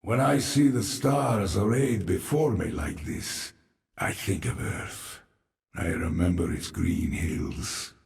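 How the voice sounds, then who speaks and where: A bitter, world-weary mutant outcast in a game cinematic. He is low and gravelly, talking slowly in an echoing space. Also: darkish tone, exaggerated voice. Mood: wistful. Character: bitter half-human, half-alien outcast, weary, sardonic. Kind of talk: video game cinematic acting.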